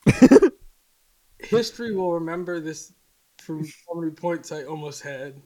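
A man's voice: on the high side, animated, normal speed, dark tone, rough recording. Playful, self-mocking man with a raised voice. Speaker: podcast co-host. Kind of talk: casual podcast chat.

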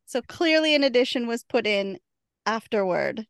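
A woman's voice: a little high, animated, moderate pace, smooth, dry recording, studio quality. A woman co-hosting a podcast, chatting casually and laughing often. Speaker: podcast co-host.

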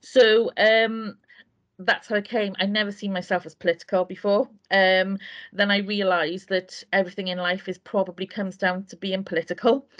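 A Welsh woman from Wales, a community councillor, talking earnestly in a casual interview and pausing often as she gathers her thoughts. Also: medium pitch, a bit bright, muffled recording. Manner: earnest, meandering with frequent hesitations.

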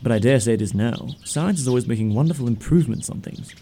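An actor playing a greedy, flippant young English gentleman in a comic radio play, with a glib, pompous, mannered delivery. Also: medium pitch, animated, fast talker, a little hiss or hum, natural voice. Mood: glib, argumentative.

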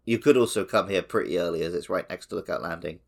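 Low-pitched, creaky-voiced man chatting. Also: fast talker. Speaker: podcast co-host. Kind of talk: casual chat about a video game.

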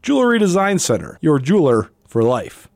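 A man's voice: on the high side, very animated, normal speed, a little mellow, dry recording, clean studio sound. A man reading a scripted radio commercial as its announcer.

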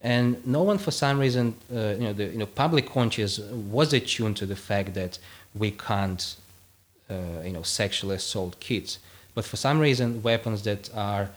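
A man's voice: lowish voice, moderate pace. A man whose first language is Russian, a guest philosopher explaining his ideas with many fillers and restarts, and reading his own passage aloud.

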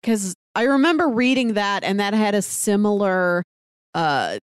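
Animated woman in casual podcast chat. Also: medium pitch, a little slow, smooth, no echo, clean studio sound. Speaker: podcast co-host. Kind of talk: casual discussion of stories.

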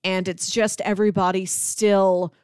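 A woman chats as co-host of a movie and TV podcast. Her voice sits at a middle pitch, recorded dry with no room sound, and her delivery is informal and conversational. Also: flat delivery, a little slow, darkish tone, smooth, dry recording. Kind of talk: casual film and TV podcast chat.

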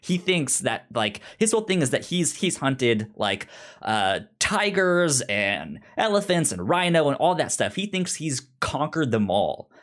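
Guy chatting pop culture news on a podcast, slow and stammery, pitch jumps around. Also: big pitch swings, fairly bright tone. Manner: halting, often stammering on words. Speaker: podcast co-host.

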